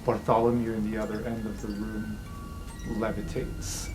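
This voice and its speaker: A man's voice: fairly low pitch, level tone, moderate pace. Man narrating as game master over music. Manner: casual, loose narration with many fillers.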